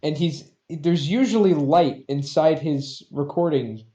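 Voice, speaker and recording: A man's voice: a little high, measured pace, warm tone, highs cut off. A man co-hosting a podcast in casual comedic banter, jokey and prone to acting out bits. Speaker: podcast co-host. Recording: roomy, echoing sound.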